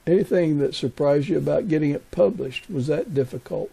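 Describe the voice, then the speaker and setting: Male podcast host, very mellow and gravelly, casual interviewing. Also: fairly high pitch, fairly quick.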